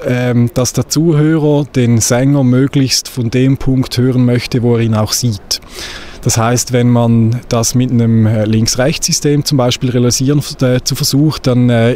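Male sound engineer, average pitch, measured explainer delivery; handheld mic outdoors with audible ambient noise. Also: a little mellow. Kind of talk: technical explanation to camera. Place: outdoors on an open-air stage. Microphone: handheld microphone held at chest.

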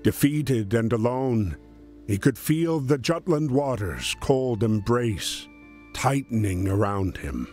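A man narrating a wartime history game as a solemn storyteller, in measured scripted delivery. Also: mid-range voice, expressive, darkish tone. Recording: music behind the voice. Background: music.

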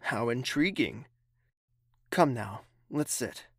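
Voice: medium pitch, animated, takes it slowly, breathy edge, no room sound, full character voice. An actor playing a haughty, impatient young royal prince in an audio drama, clipped and condescending. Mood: relaxed, familiar.

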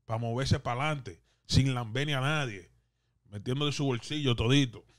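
Male podcast host, Dominican accent, emphatic and repetitive, mid-pitched, bilingual Spanish-English, dry studio mic. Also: normal speed, no room sound. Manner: emphatic, repeats phrases for emphasis. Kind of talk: casual podcast debate, switching between Spanish and English. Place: small indoor podcast studio. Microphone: close studio microphone on boom arm.

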